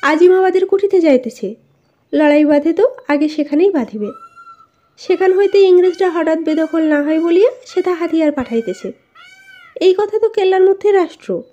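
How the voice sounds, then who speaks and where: High-voiced cheeky maidservant read by a woman. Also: moderate pace, warm tone. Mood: matter-of-fact. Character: bold, teasing maidservant of a royal harem. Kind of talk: audiobook character reading. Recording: dull, lo-fi voice recording.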